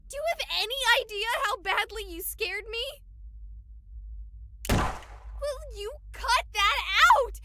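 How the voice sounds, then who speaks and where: A woman's voice: very high voice, slow, long pauses, sharp tone, slight background noise, exaggerated voice. A woman voicing a sarcastic, exasperated young female streamer in a horror video game, wisecracking and dramatic. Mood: angry, rattled.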